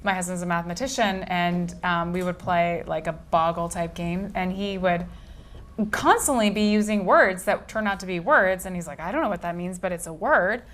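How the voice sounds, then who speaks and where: A woman interviewing an author at a bookstore event, asking long, animated questions with many fillers. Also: lowish voice, moderate pace. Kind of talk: casual conversation at a book event.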